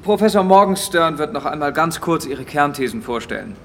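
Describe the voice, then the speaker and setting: An actor playing a formal, bossy government aide running a meeting in a German radio play. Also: male voice, fairly high pitch, animated, fairly quick, slight background noise. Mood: brisk.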